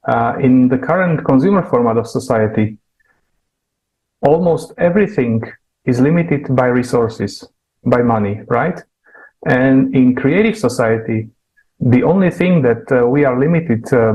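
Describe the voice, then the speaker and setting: Mid-pitched man moderating, in hesitant broken phrases. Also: a little mellow, rough recording. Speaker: forum moderator. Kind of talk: conversational panel hosting.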